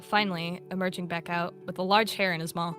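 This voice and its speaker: A woman's voice: on the low side, fairly even intonation, fairly quick, fairly bright tone. A woman narrating a story in the third person. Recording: music behind the voice.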